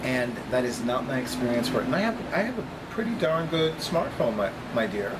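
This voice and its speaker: Middle aged guy doing a chatty vlog, mid pitched voice, says stuff deliberately, noisy room w/ aircon going. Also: sing-song. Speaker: vlogger, psychic reader. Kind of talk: casual chatty monologue to camera. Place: small apartment room by a kitchen, indoors.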